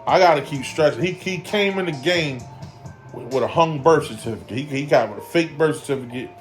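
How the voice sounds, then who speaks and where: A man's voice: on the high side, a little fast, soft background music. A man in his forties talking casually in a boxing vlog, loose and rambling, leaning on fillers and repeating his points for emphasis. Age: 40s. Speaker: boxing commentary vlogger.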